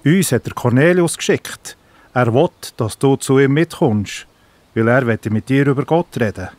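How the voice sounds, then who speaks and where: A man's voice: medium pitch, normal speed, a little mellow, dry recording, natural voice. A man doing a blunt Roman soldier.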